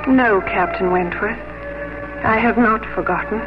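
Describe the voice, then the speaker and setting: A woman's voice: mid-range voice, measured pace, muffled recording, close to an everyday voice. Casting: female actor for tender, proper romantic heroine, earnest and restrained, old-time radio sound over music. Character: gentle, earnest, reserved English gentlewoman in love. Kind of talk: 1950s radio romantic drama acting.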